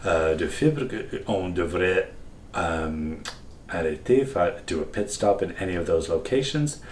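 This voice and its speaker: A man's voice: sits low, animated, a little slow. A tired man with a Northern Ontario French accent, co-hosting a casual chat with playful, teasing banter. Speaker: podcast co-host. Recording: echoey room, mic at a distance, faint noise.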